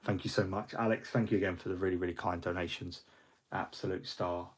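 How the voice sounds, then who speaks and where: A man's voice: low voice, fairly even intonation, a little fast, breathy edge. A middle-aged man, hosting a hobby livestream in a rambling, friendly way, full of ums and restarts, a little fluey. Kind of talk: casual livestream chat.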